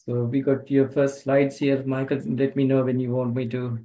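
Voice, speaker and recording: A man's voice: medium pitch, flat delivery, moderate pace, warm tone. A man hosting a webinar, introducing his guest and handling the slides in a polite, brief way. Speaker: webinar host.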